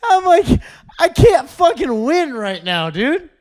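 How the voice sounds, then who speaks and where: A man co-hosting a comedy podcast, telling stories excitedly and laughing as he talks. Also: very high-pitched, slow. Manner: excitable, laughs often while telling a story. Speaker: podcast co-host. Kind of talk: casual comedic chat. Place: podcast studio at home.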